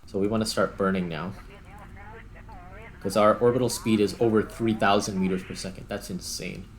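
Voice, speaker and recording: A man giving casual gameplay commentary, thinking aloud in short, halting remarks. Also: fairly low pitch, steady tone. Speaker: gaming host.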